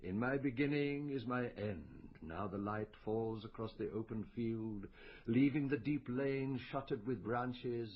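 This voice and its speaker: An actor playing a brooding, inquisitive medical detective in a naturalistic radio drama, questioning and musing in a deliberate way. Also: male voice, medium pitch, dull audio, lightly acted. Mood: melancholy musing.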